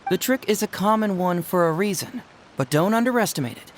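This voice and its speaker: A woman's voice: mid-range voice, lively intonation, fairly quick, slight background noise, lightly acted. A woman voicing a cocky, clever young male detective in a game, glib and playful. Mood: reassuring. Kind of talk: game cutscene voice acting.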